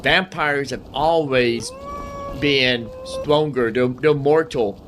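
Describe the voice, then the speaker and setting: Slow-talking man gaming over background noise. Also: medium pitch. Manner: folksy, rambling, explanatory, talking to himself and game characters. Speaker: gaming host. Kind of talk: casual gameplay commentary.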